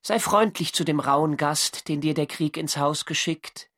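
An actor playing a navy sailor who recounts his wartime diary in measured, dryly observant literary narration for a radio drama. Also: a little high, fairly bright tone. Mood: wry, reciting.

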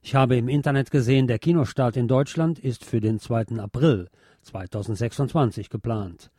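A man's voice: mid-range voice, moderate pace, mellow, slightly rough, no echo, studio quality. A man presenting a film review as a show host, partly scripted.

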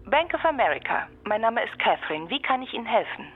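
A woman's voice: a little high, big pitch swings, fast, music in the background. An actress playing a polite, formal bank switchboard clerk with courteous service phrasing, heard through a telephone filter. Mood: polite, businesslike. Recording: narrow tinny telephone line.

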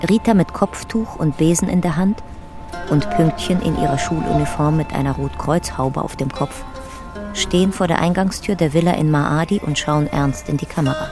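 An actress as a detached documentary narrator in a radio drama, giving clipped, factual narration. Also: female voice, deep voice, moderate pace, over music, natural voice.

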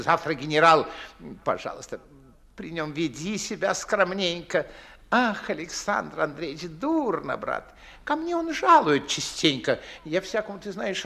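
An actor playing a pompous, fawning older Moscow gentleman and father in a theatrical 1950s radio comedy, declamatory and fussy, drawing out his emphatic words. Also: fairly high pitch, vocal fry, exaggerated voice. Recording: 1950s Soviet radio play recording.

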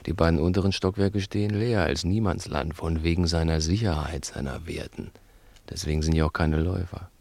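Deep, gravelly, bossy male conspirator. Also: steady tone, darkish tone, dry recording. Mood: calculating. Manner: bossy, taunting, deliberate. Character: domineering, mocking male conspirator leading a murder plot. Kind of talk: German radio crime drama acting.

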